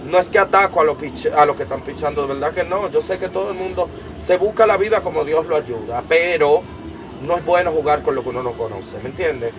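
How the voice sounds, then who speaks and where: A young man with a Dominican accent, giving an emphatic personal testimony as an interview guest, piling up details in long rapid lists. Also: fairly high pitch, noisy background. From Dominican Republic. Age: young adult.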